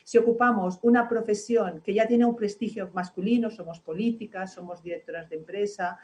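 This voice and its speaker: A woman panelist in an online discussion, earnest and halting, with many fillers. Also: mid-range voice, a little mellow.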